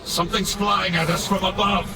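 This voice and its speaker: A stern fantasy knight commander over loud music. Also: male voice, high-pitched, level tone, normal speed, vocal fry, rough recording. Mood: urgent. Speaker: game character. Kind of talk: video game voice acting. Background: loud game music.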